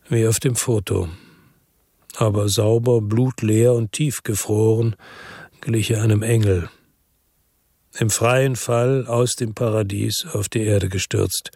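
A man's voice: on the low side, creaky, a little mellow, breathy edge, no room sound, studio quality, exaggerated voice. An actor playing a brooding investigator who narrates his story in a radio drama. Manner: slow, somber narration. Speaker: first-person narrator.

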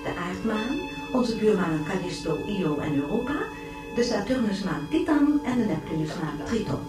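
A woman plays a formal newsreader in a radio drama. Her voice is heavily echoing and somewhat distant, with clear music behind the scripted bulletin. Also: mid-range voice, expressive, normal speed, lightly acted. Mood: formal, grave. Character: formal female newsreader delivering emergency bulletins.

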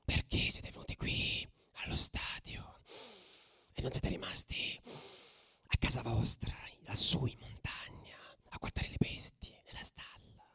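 A man voicing a menacing, wasted football hooligan in a radio play, taunting rivals in a breathy whisper. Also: mid-range voice, measured pace, bright, forceful tone, no room sound, clean studio sound, full character voice. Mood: quiet menace.

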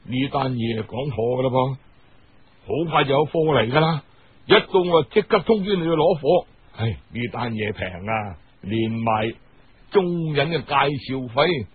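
Guy putting on a sneaky cantonese smuggler middleman in a story, low hushed n pushy, kinda husky, muffled radio audio. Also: full character voice. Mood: secretive, then confiding, persuasive. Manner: hushed, secretive, insistent. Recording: muffled, band-limited radio-style recording.

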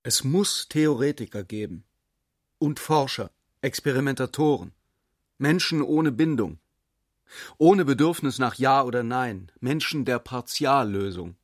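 A man's voice: mid-range voice, animated, unhurried, dry recording, clean studio sound. A man reciting a literary text phrase by phrase in a declarative way, as scripted radio drama.

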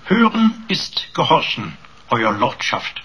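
A man's voice: mid-range voice, very animated, slow, sharp tone, breathy edge, highs cut off, heavily acted. A man playing an eccentric, long-winded computer in a German radio play, speaking in a pompous, flowery, mock-servile manner.

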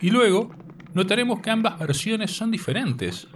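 A man presenting a scripted radio segment in a measured, didactic manner. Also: high-pitched, big pitch swings. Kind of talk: scripted narration. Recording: distant mic with faint noise.

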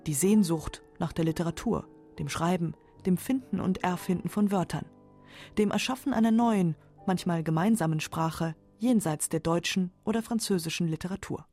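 A woman's voice: on the low side, normal speed, mellow, soft background music. A woman narrating a scripted radio feature as its narrator.

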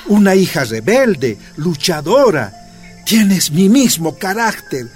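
An older father in a radio drama, high-voiced with lively swings in pitch. He speaks earnestly, urging and proud, over faint music. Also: takes it slowly, breathy edge, heavily acted. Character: devoted older father, proud former union leader.

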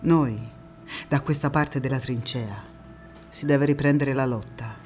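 An actress playing a young Italian partisan woman who recalls the war in an intense, deliberate theatrical monologue. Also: a bass voice, darkish tone, faint music behind. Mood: resolute, reflective. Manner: intense, deliberate, with dramatic pauses and repetitions. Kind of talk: dramatic theatrical monologue narration.

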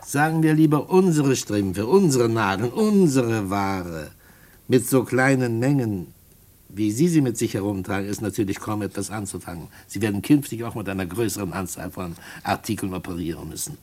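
An actor in a German radio play playing a suave, condescending gang boss, urbane and falsely courteous, with deliberate hesitations. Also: male voice, on the low side, animated, a little mellow. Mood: smug, musing.

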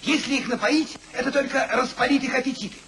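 An actor playing a droll, mock-solemn gentleman crony in a theatrical 1950s radio play. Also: very high voice, fast talker, slight background noise.

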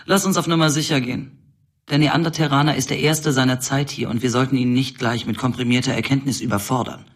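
Man as breezy mid-pitched control-center operator. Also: fairly even intonation, brisk, lightly acted. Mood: wry, alert. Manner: breezy, colloquial, official. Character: wry, easygoing male control-center operator. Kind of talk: science fiction radio drama acting.

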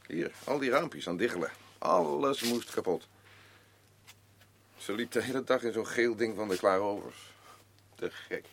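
An actor playing a gruff, defensive working-class father in grief, speaking blunt, halting, colloquial lines in a naturalistic radio drama. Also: on the low side, fairly bright tone. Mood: gruff, matter-of-fact. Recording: clearly noisy radio play recording with ambience.

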